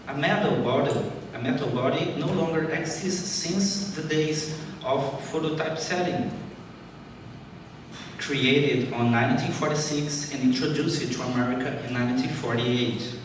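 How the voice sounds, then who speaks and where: A man's voice: mid-range voice, background noise. Brazilian man reading a talk in an echoey hall. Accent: Brazilian Portuguese. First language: Portuguese. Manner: careful, deliberate, apologetic about his English. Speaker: conference speaker. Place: large conference hall. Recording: echoey hall recorded from far off.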